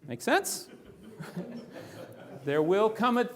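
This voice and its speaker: A man presenting financial ideas to a live audience in a room, animated, often asking the listeners rhetorical questions. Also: high-pitched, extremely slow.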